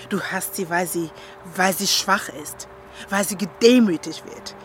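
With light noise behind her, a middle-aged stepmother in a film drama. Her voice is medium in pitch, and she comes across as a stern, hurt woman speaking naturally. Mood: reproachful. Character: stern, wounded stepmother, a care worker. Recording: faintly noisy film location sound.

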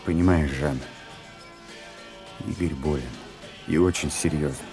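A man with an extremely deep voice, measured and soothing. He is a conscientious, troubled doctor in a radio drama, with faint music behind him. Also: steady tone. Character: calm, troubled, conscientious male doctor, soothing his partner. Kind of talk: radio play acting.

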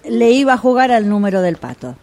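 Animated Argentine woman, confiding and resentful, acted. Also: fairly high pitch. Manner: confiding, dramatic storytelling with pauses. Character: gullible, resentful woman, loyal longtime client of a fortune teller. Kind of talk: radio drama acting.

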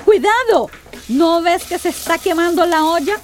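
An actress playing a cruel, domineering middle-aged aunt in a radio drama. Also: female voice, very high voice, normal speed. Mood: angry, scolding. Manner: scolding, domineering, threatening.

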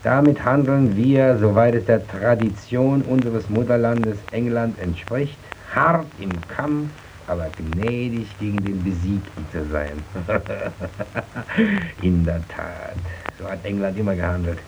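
A man's voice: fairly low pitch, animated, takes it slowly. A man playing a dreamy, defiant mine worker with bitter irony, musing and theatrical in an old German radio drama. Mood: bitterly ironic. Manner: musing, theatrical, swinging from soft wonder to outcry. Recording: noisy archival radio play recording.

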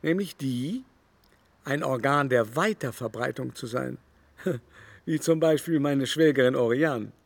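An actor playing a gossipy, snobbish aristocratic baron in a radio play, with affected, ornate and condescending phrasing. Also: male voice, mid-range voice, a little slow, creaky, dry recording. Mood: smug, amused. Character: pompous, coy, gossipy aristocratic baron, a society snob.

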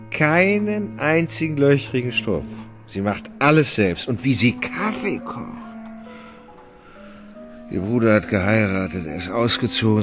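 An actor playing a gentle, melancholy and sluggish gentleman in a German radio play, with an emotional, wavering delivery. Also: lowish voice. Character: gentle, sluggish, melancholy Russian gentleman, sentimental and easily moved.

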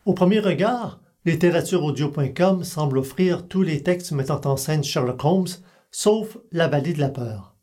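A man's voice: fairly high pitch, moderate pace, mellow. Male podcast host reading announcements. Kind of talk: scripted announcement.

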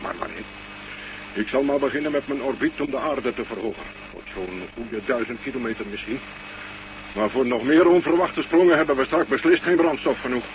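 Through clear hum and noise comes a man playing a space station commander in a science fiction radio drama. He is hearty, easygoing and folksy, a plain-spoken Russian who muses aloud at an ordinary male pitch. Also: animated, brisk, lightly acted. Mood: musing, unhurried. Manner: colloquial, musing, folksy. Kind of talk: Dutch science fiction radio play acting. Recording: noisy old radio recording with hum.

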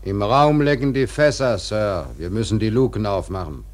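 An actor in a radio drama playing a dutiful first mate, formal, measured and respectful but stubbornly insistent. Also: male voice, on the low side, close to an everyday voice. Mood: worried, insistent. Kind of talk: literary radio drama acting.

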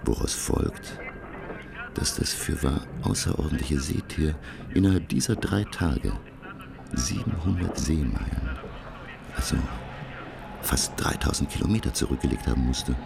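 An actor playing a learned, middle-aged French professor who narrates his sea adventure in a radio play with formal, measured, dramatic delivery and a gravelly voice. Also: a bass voice, lively intonation, noisy background, exaggerated voice. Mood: intrigued, suspenseful. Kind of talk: radio play first-person narration, literary.

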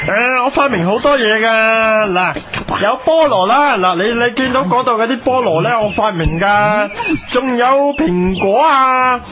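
A man playing an excitable, boastful sixty-year-old farmer-inventor grandpa in a Hong Kong Cantonese comic radio play, rambling and joking over music. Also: very high-pitched, unhurried. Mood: jokey, boastful. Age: 60s. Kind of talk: comic radio play acting, mock documentary interview.

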